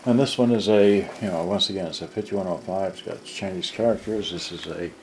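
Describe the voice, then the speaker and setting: Low-voiced man rambling through a roomy demo. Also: a little fast, background noise. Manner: rambling, fragmented narration while handling gear. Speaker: cassette player repairer demonstrating serviced units. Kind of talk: unscripted hands-on product demo. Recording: roomy, distant mic with loud music. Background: music from cassette players.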